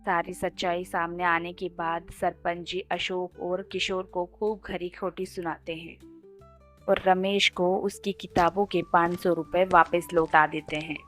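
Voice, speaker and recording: A woman's voice: fairly low pitch, level tone, unhurried, fairly bright tone, faint music behind. A woman narrator reading a scripted moral story.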